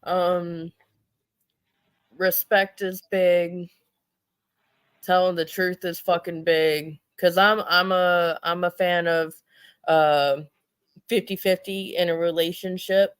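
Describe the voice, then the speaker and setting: A woman's voice: fairly low pitch, level tone, takes it slowly, pauses often, smooth, dry recording. A woman chatting casually as a talk show panelist, blunt and swearing freely.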